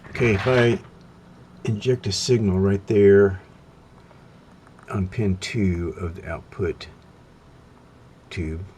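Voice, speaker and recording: A man's voice: deep voice, fairly even intonation, darkish tone, slight background noise. A man thinking aloud in a casual, hesitant way as he narrates hands-on repair work at an indoor workbench. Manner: hesitant, halting, musing to himself. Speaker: hobbyist radio repairer narrating his work.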